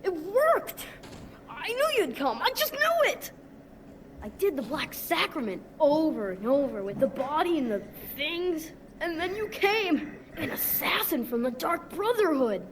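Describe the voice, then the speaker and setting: A woman voicing an eager, desperate orphan boy in a fantasy game, earnest and excitable. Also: sits high, a little slow, a little hiss or hum. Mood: overjoyed, eager.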